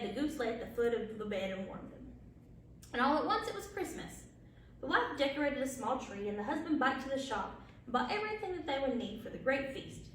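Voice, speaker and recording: A woman storyteller reading a bedtime story aloud in an expressive way, with the odd small stumble. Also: high-pitched. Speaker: library storyteller. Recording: very echoey, distant, faint background noise.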